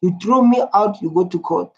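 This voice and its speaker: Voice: medium pitch, darkish tone, breathy edge. A reaction video host giving casual commentary and reading out viewer comments. The talk rambles, with frequent "like" and restarts. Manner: rambling, heavy use of "like" and restarts. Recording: dull lo-fi sound.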